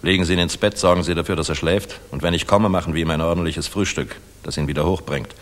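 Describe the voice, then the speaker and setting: Actor as a deep-voiced, world-weary German general. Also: male voice, fairly even intonation, quick pace, natural voice. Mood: brisk, tired. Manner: musing, commanding, theatrical. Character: world-weary, dashing middle-aged German air force general, philosophical and gruff. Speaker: actor in a radio play. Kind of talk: 1960s German radio drama acting. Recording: reverberant 1960s mono radio recording.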